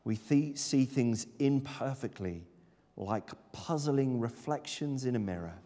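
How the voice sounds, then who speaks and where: Earnest preacher in a reverberant church. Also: male voice, mid-range voice, animated, a little slow, dark tone. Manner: earnest, emphatic, gesturing with his arms. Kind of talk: sermon. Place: church sanctuary, speaking at a lectern. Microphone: far camera.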